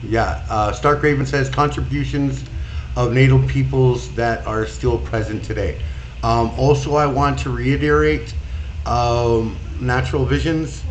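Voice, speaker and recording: A man in his forties hosting a livestream, reading chat aloud in a slow, relaxed way with many fillers. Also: medium pitch, slight background noise, rough recording. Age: 40s. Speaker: streamer host. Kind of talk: casual livestream talk.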